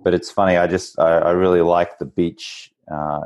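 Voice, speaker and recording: A low-voiced Australian man in his forties talks as an interview guest. He is chatty and informal, telling personal stories in a conversational way. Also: level tone, normal speed, darkish tone. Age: 40s. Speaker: podcast guest, entrepreneur. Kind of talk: casual interview storytelling.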